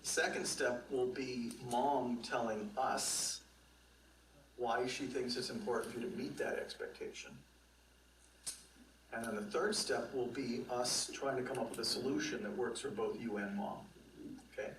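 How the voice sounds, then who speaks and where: A man's voice: mid-range voice, fairly even intonation, a little slow, far from the mic, background noise. A man patiently explaining the steps of a problem-solving talk to a teenager and his mother, recorded as video footage. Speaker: psychologist leading a demonstration session. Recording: roomy video played back from afar.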